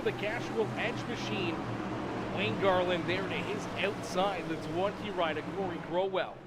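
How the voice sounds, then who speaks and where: A man with a nasal, sick-sounding voice doing energetic play-by-play commentary and hosting a racing livestream. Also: high voice, very noisy. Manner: energetic hype announcer, rattling off names. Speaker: race commentator and stream host.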